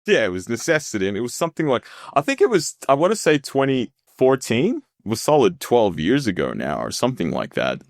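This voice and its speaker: A man in his thirties, a podcast guest telling his story in a relaxed, rambling way and laughing often. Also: mid-range voice, a little fast, few pauses, dry recording, studio quality. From Australia. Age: 30s. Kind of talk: casual storytelling interview.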